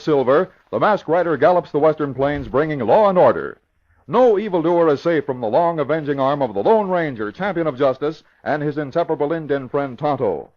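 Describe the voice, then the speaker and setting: A man reading a dramatic old-time radio promo in a formal, declamatory manner. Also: on the high side. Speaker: radio network announcer. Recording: dull old radio broadcast recording.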